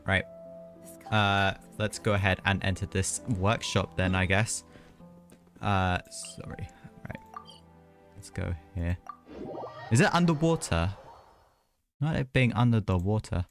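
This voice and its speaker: A man's voice: low voice, fairly bright tone. A man giving casual, off-the-cuff live commentary while streaming a video game. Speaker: game livestreamer.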